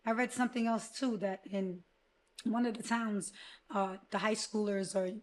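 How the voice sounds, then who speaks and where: A woman's voice: fairly even intonation, normal speed, a little hiss or hum. A woman co-hosting a podcast while losing her voice, speaking earnestly in long run-on thoughts. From Connecticut, United States. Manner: earnest, talks in run-on thoughts, lowers her voice on serious points. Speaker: podcast co-host. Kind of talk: casual podcast conversation.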